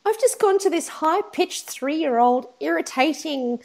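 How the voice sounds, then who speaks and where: A woman hosts a chatty podcast with a croaky, hoarse voice. She sits high in pitch and keeps things playful. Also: animated, unhurried, darkish tone. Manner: playful, laughing. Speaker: podcast co-host. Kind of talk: casual chat.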